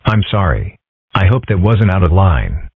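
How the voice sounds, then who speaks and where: A man voicing a mild, thoughtful, bookish schoolboy in a comic strip dub, measured, polite and dryly deadpan. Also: deep voice, creaky, husky, dry recording, full character voice. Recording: muffled, phone-like narrow sound.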